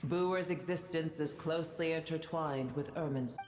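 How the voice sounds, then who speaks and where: A woman's voice: deep voice, moderate pace, echoey room, noisy background, close to an everyday voice. A woman playing an ancient, proud dragon sovereign in a video game, speaking in stately, archaic phrases with cold disdain. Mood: grave, proud. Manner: haughty, archaic, stately formal speech.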